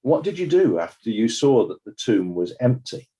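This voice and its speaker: A man playing a courteous, formal examiner putting measured questions to witnesses in a dramatized inquest. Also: mid-range voice, sing-song, dark tone, husky. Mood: inquiring.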